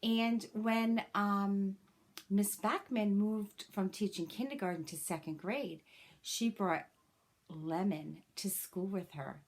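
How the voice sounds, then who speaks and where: A woman reading a children's picture book aloud like a teacher, with expressive, deliberate phrasing and personal asides to young listeners. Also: mid-range voice.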